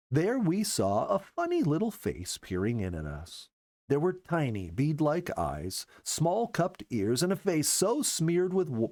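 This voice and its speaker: Animated male storyteller with lively intonation. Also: mid-range voice, no echo. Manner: expressive, storyteller delivery. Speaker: storyteller, show regular. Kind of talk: scripted storybook narration.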